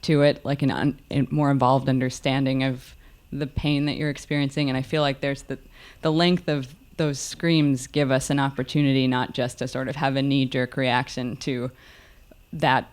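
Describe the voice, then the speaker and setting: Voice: mid-range voice, flat delivery, moderate pace, clear, resonant tone. An audience member giving a reflective, analytical comment in a thoughtful, articulate, hedging manner.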